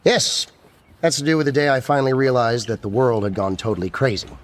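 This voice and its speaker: An actor playing a gentle, earnest, eccentric male scientist in a radio comedy, husky and sincerely matter-of-fact about absurd things. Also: mid-range voice, expressive, a little fast, a little hiss or hum, close to an everyday voice. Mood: serene, matter-of-fact. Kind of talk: radio comedy drama acting.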